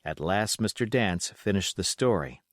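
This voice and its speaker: Animated male audiobook narrator, dry sound. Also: deep voice, moderate pace, dry recording. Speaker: audiobook reader. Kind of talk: expressive scripted storybook narration.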